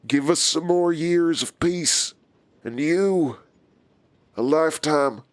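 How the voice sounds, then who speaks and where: A smug, menacing male villain in a horror audio drama. His voice is on the higher side and recorded dry, with a controlled, calculating and taunting delivery. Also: slow pace, no room sound. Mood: threatening.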